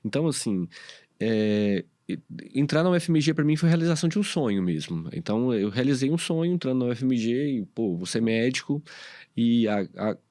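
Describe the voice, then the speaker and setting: A man's voice: mid-range voice, animated, fairly quick, warm tone, dry recording, studio quality. A Brazilian man, a podcast co-host and internist, telling his story in casual chat with many fillers and restarts.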